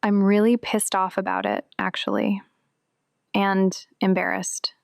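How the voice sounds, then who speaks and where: A woman playing an embarrassed, angry daughter in an audio drama, confessing in a halting, frank way. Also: mid-range voice, very animated, vocal fry, no echo, studio quality. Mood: ashamed, angry.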